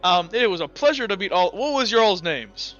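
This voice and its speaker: A man acts out a massive, good-natured guard in a tabletop game, earnest and politely scolding. The voice is fairly high and bright, on a slightly dull recording. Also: expressive, a little fast, faint music behind. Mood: amiable. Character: huge amiable jacked male guard, earnest and literal-minded.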